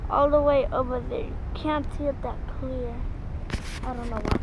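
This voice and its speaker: High-voiced young woman filming a home tour. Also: fairly even intonation, moderate pace. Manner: chatty, pointing things out. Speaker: vlogger. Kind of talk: casual home tour vlog. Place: small house, by windows and on porch. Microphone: handheld phone camera. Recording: phone audio with outdoor noise.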